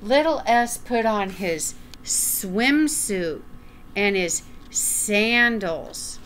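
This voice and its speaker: Older woman reading very slowly, noisy recording. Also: mid-range voice, expressive, fairly bright tone. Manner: deliberate, enunciated, asking the child questions. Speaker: storyteller reading a children's picture book aloud. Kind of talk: read-aloud for young children with asides. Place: small room at home, indoors.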